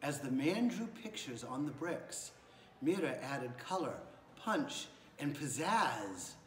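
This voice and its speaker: A man's voice: mid-range voice, very animated, slow pace, fairly bright tone. A man in his forties reading a children's picture book aloud. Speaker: school staff member reading aloud. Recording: echoey room with faint noise.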